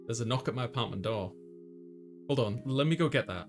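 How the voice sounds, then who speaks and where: A man plays a visual novel and reads it out loud as a story. His voice sits at an ordinary male pitch. He acts out narration and dialogue, with music clearly playing behind him. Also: animated, fairly quick. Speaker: let's player voicing a visual novel. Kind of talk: reading game narration and dialogue aloud, dramatized. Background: clear background music.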